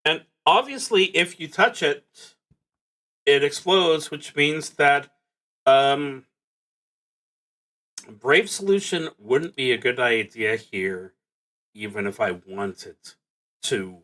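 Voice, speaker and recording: A man playing a solo tabletop roleplaying game on a stream, reading prompts aloud and thinking out loud in a halting, musing way. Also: a little high, big pitch swings, rough recording.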